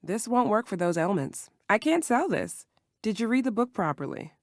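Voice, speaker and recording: A woman playing a careful herbal shopkeeper in a video game, instructive and gentle. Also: mid-range voice, big pitch swings, normal speed, no room sound, clean studio sound. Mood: firm, disapproving. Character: calm female herbal shopkeeper, patient, careful mentor.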